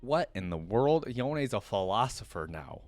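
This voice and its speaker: A man's voice: mid-range voice, very animated, unhurried, no room sound, soft background music. A man hosting a casual reaction video, thinking aloud in an informal way. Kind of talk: casual reaction commentary, some reading aloud.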